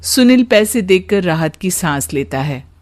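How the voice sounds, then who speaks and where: A woman's voice: fairly low pitch, expressive, a little slow, darkish tone. A woman narrator telling a scripted cartoon story.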